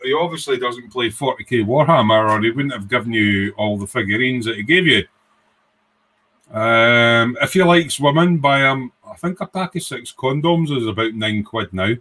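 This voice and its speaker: A man's voice: medium pitch, lively intonation, moderate pace, pushed and bright. A man in his sixties with a Scottish accent, hosting a casual, rambling livestream chat in an informal way. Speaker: livestream host, hobbyist. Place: small home workshop room.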